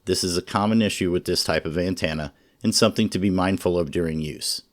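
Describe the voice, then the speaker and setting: A man narrating a scripted product review in a measured, informative way. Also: low voice. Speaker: radio reviewer.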